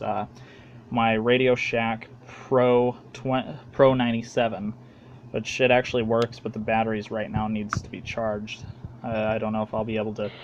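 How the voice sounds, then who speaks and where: A man with an American accent giving a casual, halting, plain-spoken show-and-tell as a hobbyist making a home video. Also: mid-range voice, lo-fi sound, a little hiss or hum.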